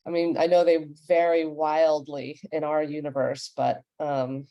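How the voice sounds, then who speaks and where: Female panel moderator; low register, soft-edged mellow tone, close dry sound. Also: little pitch movement, a little slow, no room sound. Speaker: host and moderator.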